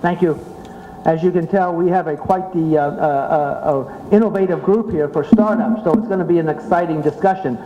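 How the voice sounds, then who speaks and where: A man's voice: fairly high pitch, moderate pace. A man moderating an insurance technology panel at a live conference event, introducing the speakers and reading out discussion questions. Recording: echoey hall, slightly dull, faint room noise.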